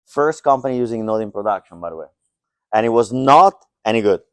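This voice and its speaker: A middle-aged man keeps a live tech talk loose and jokey, full of fillers. His voice sits low and smooth. It is picked up close and dry, with no room sound. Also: lively intonation, brisk, no echo. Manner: joking, loose and conversational, many fillers. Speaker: conference speaker. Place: lecture hall.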